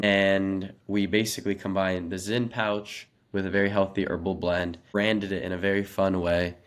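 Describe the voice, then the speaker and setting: A 21-year-old American man, a native Russian speaker, giving earnest, articulate answers as a podcast guest in a conversational interview. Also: low-pitched. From Los Angeles, United States. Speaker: podcast guest, young startup founder.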